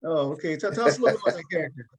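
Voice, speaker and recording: A man's voice: fairly high pitch, expressive, very fast, breathy edge. A man hosting a casual interview, often doubling up words and phrases as he feels his way through questions. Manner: hesitant, repeats words and phrases. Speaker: talk show host interviewing a play's cast.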